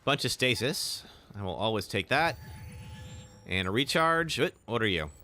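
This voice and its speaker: A young man, slightly raspy today, chatting casually while live streaming a game and answering chat. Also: mid-range voice, sing-song, normal speed, a little hiss or hum. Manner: chatty, reacts with sudden loud exclamations; filler-heavy, answers chat. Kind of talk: live gameplay commentary.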